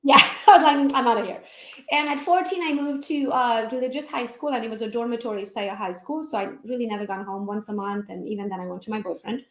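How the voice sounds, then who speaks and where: A woman from Transylvania with a Hungarian accent, telling her life story as an interview guest in a lively, laughing way. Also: fairly high pitch, fast, roomy sound. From Transylvania, Romania. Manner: animated, laughs often, acts out quotes. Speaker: guest, real estate agent.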